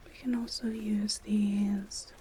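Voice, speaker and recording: A woman whispering in a gentle ASMR style as a massage therapist, guiding the listener slowly in short phrases. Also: mid-range voice, flat delivery, a little hiss or hum. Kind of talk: whispered ASMR personal attention roleplay.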